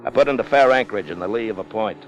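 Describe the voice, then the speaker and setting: An actor playing a ruthless, commanding ship's officer who plots mutiny for gold, in a forceful 1950s radio drama style. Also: male voice, fairly low pitch, lively intonation, very fast, over music, close to an everyday voice. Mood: grim narration. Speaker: lead character and narrator. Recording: noisy vintage 1950s radio recording.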